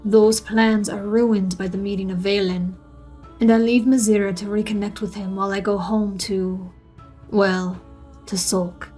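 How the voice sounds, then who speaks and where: A woman plays a male drow elf recounting his own tale in a fantasy audio drama. The voice is gravelly, with a sulky, self-deprecating wryness. Faint music plays behind the narration. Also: medium pitch, level tone, unhurried, dark tone, close to an everyday voice. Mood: sulky, wistful. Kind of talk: first-person fantasy audio story narration.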